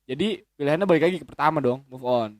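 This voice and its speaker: A man's voice: a little high, fast talker, no echo, studio quality. A man, chatting as a guest in a rambling, slangy way with lots of swearing and restarts. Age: 20s. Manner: rambling, frequent swearing and self-correcting hesitations. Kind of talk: casual chat.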